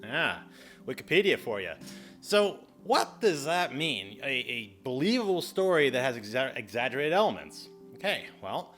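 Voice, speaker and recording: A man hosting an explainer video in a casual, chatty style, animated and exclamatory, with playful exaggerated asides. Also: on the high side. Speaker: video host giving public speaking tips.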